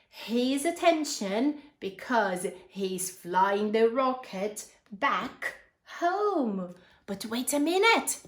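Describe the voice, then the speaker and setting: High-pitched, animated woman reading to children. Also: echoey room. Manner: expressive, addressing young listeners with prompting questions. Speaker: storyteller reading a picture book to children. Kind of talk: animated children's read-aloud with asides and questions.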